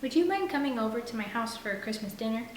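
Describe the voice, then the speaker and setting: A woman's voice: fairly high pitch, animated, fast, close to an everyday voice. A woman voicing a smitten teenage boy in a novel, hesitant and trying to sound casual. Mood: hopeful, casual. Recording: roomy echo with faint background noise.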